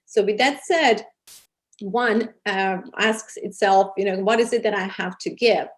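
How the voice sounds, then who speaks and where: Female interview guest, Serbian accent, medium pitch, sincere, searches for phrasing as she explains. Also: unhurried, low-quality recording. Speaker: interview guest, program producer and charity founder. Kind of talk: interview answers.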